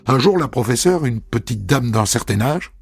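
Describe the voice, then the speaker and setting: A man telling a first-person story as a narrator, in a measured, intimate reading. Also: mid-range voice, animated, vocal fry, slightly rough. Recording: dry studio voice over soft music.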